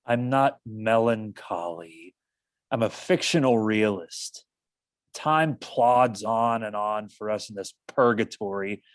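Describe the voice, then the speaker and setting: Casting: man as resigned, deadpan toy donkey for a stage play, low, plodding delivery, close dry sound. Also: no echo. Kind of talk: livestreamed stage play reading.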